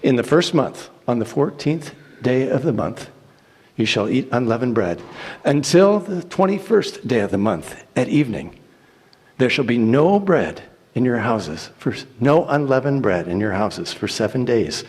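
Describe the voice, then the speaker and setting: Slow, dramatic man reading scripture, echoing hall. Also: medium pitch, sing-song, a little mellow, husky. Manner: solemn, declamatory, deliberate phrasing with pauses. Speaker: church reader reciting scripture. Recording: echoey hall with long reverb.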